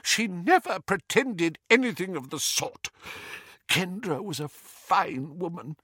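A man voicing a spiteful, gossiping old witch with a shrill, cackling manner. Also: animated, takes it slowly, sharp tone, slightly rough, no room sound, clean studio sound, exaggerated voice. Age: older. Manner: cackling, needling, high-pitched.

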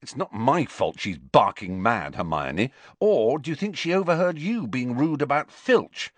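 A man's voice: medium pitch, very animated, measured pace, no echo, pitched above the performer's own voice. Man playing a stubborn teenage schoolboy. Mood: insistent.

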